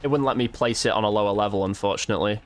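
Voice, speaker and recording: A man's voice: on the low side, racing. A man commentating casually over gameplay as a content creator, chatting informally and thinking aloud.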